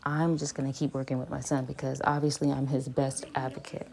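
A woman in her thirties, a parent vlogging home therapy with her child, speaking in a calm, monotone, matter-of-fact way from a phone camera in a small playroom. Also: extremely low pitch, a little fast, vocal fry. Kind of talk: home therapy vlog, talking while working with her son. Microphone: phone camera on a stand a few feet away.

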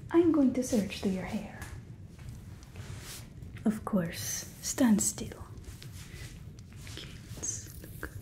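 A young woman playing a stern, mocking fantasy guard in a breathy whispered ASMR roleplay, suspicious and sarcastic. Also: on the high side, mellow. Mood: commanding. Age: 20s.

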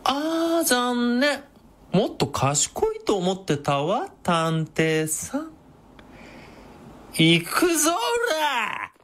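An actor playing a vain, effeminate, sadistic knife-wielding villain in a radio drama, with mincing, taunting speech. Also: male voice, very high-pitched, big pitch swings, very slow, fairly bright tone, exaggerated voice. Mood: mocking. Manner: campy, mincing, feminine phrasing.

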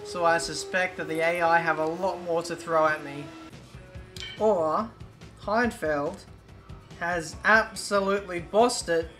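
British guy narrating his racing game, kinda croaky, high bright voice, music running underneath. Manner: chatty, excitable play-by-play. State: slightly croaky. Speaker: gaming YouTuber.

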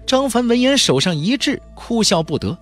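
A man's voice: extremely high pitch, sing-song, normal speed, a bit bright, faint music behind. A man narrating a fantasy novel as a dramatic scripted audiobook.

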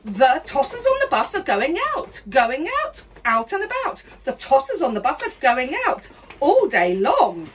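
A woman's voice: sits high, wildly swooping pitch, unhurried, slight background noise. A woman in her fifties doing a cheeky mock-schoolteacher comedy act, singing and laughing as she goes, filmed in a small room indoors. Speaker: comedy host. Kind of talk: rude comic song and story parody.

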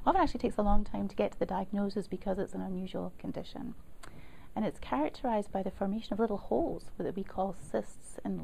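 A middle-aged woman, a lung doctor, explaining a rare disease in a measured, clear way during an interview recorded in her office. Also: medium pitch, dark tone, slight background noise. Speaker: pulmonary physician. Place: office indoors.

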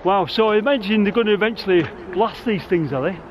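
Excitable middle-aged man exploring outdoors. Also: sits high, quick pace, lo-fi sound. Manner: enthusiastic, rambling, full of exclamations. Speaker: urban explorer vlogger. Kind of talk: casual exploring vlog with narration. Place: outdoors inside a huge concrete cooling tower. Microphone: camera mic, handheld selfie framing.

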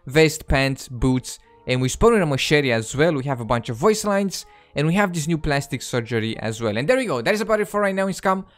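A man's voice: fairly high pitch, animated, fairly quick, hardly any pauses, soft background music. Young man doing casual game commentary. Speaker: gaming video host.

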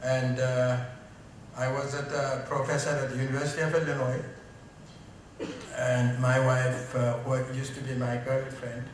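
Middle-aged Ethiopian-accented man, halting podium greeting full of fillers, roomy hall sound from a distant mic. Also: medium pitch, completely flat delivery, a little hiss or hum. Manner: hesitant, many fillers. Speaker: interim business school dean. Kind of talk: speech at a tribute event. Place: event hall, on stage. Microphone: stand mic. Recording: echoey hall, recorded from a distance.

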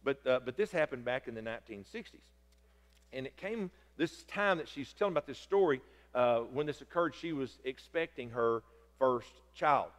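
A middle-aged man, preaching loudly and excitedly from a church pulpit, often stumbling over his words. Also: medium pitch, normal speed. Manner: loud, excitable preaching with repeated stammers. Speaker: pastor. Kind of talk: sermon. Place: large church sanctuary. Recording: long reverb of a church hall.

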